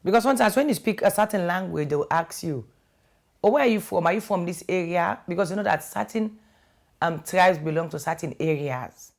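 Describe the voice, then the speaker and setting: A woman calmly lays out the languages she speaks in a matter-of-fact way. Her voice is notably low for a woman. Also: brisk, creaky. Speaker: documentary interviewee. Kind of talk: explaining to camera.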